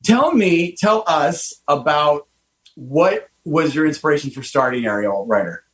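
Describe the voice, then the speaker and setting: A man in his fifties hosting a casual radio interview, enthusiastic and animated, with frequent fillers and restarts. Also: fairly high pitch, measured pace. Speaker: host, car designer.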